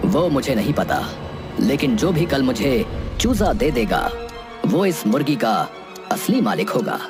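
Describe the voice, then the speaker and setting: A man's voice: mid-range voice, very animated, unhurried. A man playing a stern, authoritative village headman and father-in-law in a cartoon moral story. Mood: firm. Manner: authoritative, firm. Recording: loud music under the voice.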